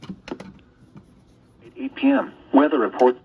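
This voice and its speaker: A man's voice: a little high, very animated, slow, creaky, a little mellow, husky. A synthetic male announcer voice reading a scripted weather forecast, played through a radio speaker. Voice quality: synthetic computer voice. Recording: dull, noisy weather radio playback.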